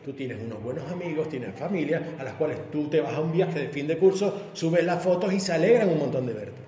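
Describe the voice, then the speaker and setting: A man's voice: a little high, expressive, fairly quick, dark tone. A man in his fifties, a police officer who gives talks in schools, pressing a young guest with pointed, leading questions in a debate. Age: 50s. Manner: challenging, leading questions, emphatic. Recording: echoey hall with faint noise.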